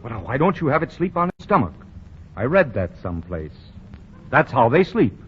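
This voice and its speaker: A man's voice: mid-range voice, very animated, a little fast, slight background noise, natural voice. An actor playing a self-assured young male store boss in a 1940s radio drama who is pompous and stammers and chuckles. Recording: dull 1940 radio broadcast.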